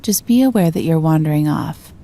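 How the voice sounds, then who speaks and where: A woman narrating a scripted guided meditation in a gentle, calm and soothing manner. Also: deep voice, fairly quick.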